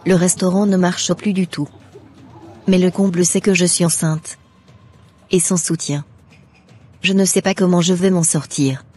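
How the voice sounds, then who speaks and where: A woman's voice: lowish voice, fairly even intonation, measured pace, long pauses, mellow, husky. A woman voicing a troubled, trusting young mother-to-be in a French cartoon. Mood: downcast. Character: troubled, trusting pregnant woman running a restaurant. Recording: music behind the voice.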